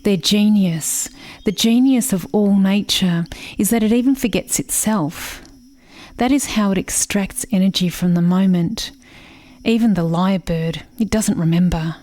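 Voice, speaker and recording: An actress playing a grieving, haunted settler woman in a lyrical radio play monologue, deliberate and brooding. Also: medium pitch, a little mellow, dry recording, lightly acted.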